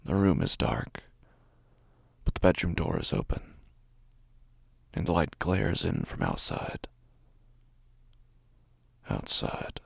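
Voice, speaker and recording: A man playing a dazed, fearful narrator of a horror audio drama, speaking in halting phrases with a low, gravelly, breathy voice that often nears a whisper. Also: fairly bright tone, dry recording, studio quality, exaggerated voice. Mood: dazed, uneasy. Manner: slow, halting, broken phrases with heavy pauses. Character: dazed, fearful man narrating his own waking nightmare. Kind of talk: first-person horror audio fiction narration.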